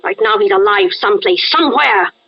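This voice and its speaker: A woman's voice: mid-range voice, animated, brisk, breathy edge. An actress playing a gaunt, ugly, vengeful female crime boss in 1940s radio style, imperious and emphatic. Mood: vengeful, commanding. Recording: muffled vintage radio recording.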